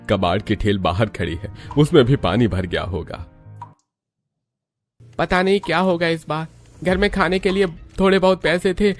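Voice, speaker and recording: A man voicing a weary, worried elderly family patriarch in a cartoon story, plaintive and gentle. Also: mid-range voice, close to an everyday voice. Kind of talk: Hindi cartoon story acting.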